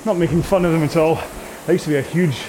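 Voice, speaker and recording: A man's voice: fairly high pitch, fast, noisy background. A man coaching a rowing workout in a casual, rambling, jokey and conversational way. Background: music.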